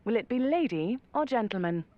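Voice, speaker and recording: An actress playing a poised, dryly amused spy handler who guides an agent through an interactive audio game. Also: female voice, a little high, lively intonation, brisk, warm tone, highs cut off, natural voice. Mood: wry. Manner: cool, composed, wry. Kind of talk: scripted interactive game narration.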